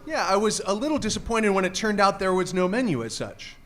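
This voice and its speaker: A man's voice: sits high, sing-song, brisk, a bit bright. A man playing a good-natured, bumbling married hotel guest with a stammering, placating manner in a radio comedy. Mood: puzzled. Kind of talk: live stage radio comedy acting.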